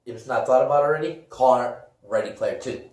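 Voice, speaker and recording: Distant and echoey, this is a man with a soft, mellow voice. He chats casually as a podcast co-host, rambling along in loose phrases. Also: medium pitch, a little fast. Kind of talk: casual chat about games and movies. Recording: echoey room, distant from the mic.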